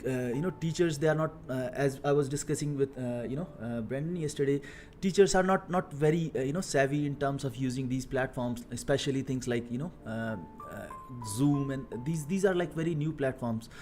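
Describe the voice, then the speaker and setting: A man in his thirties from India with an Indian accent, talking casually on a coding live stream, halting with many fillers and repeated words. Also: mid-range voice, a little mellow, faint music behind. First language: Hindi. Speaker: live-streaming software engineer.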